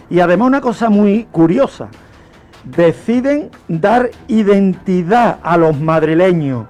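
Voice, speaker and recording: Male radio storyteller, Castilian Spanish accent, high-pitched, unhurried and explanatory, pausing deliberately. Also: darkish tone. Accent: Spanish, from Spain. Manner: explanatory, rhetorical questions, deliberate pauses. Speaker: radio show host. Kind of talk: history storytelling, semi-improvised radio talk.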